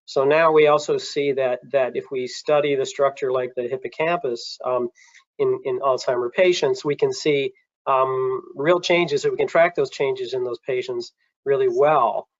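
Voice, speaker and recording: Male panelist, mid-pitched, halting delivery full of restarts; muffled, dry video-call audio. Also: steady tone, no room sound. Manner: halting, many restarts and fillers. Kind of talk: panel discussion.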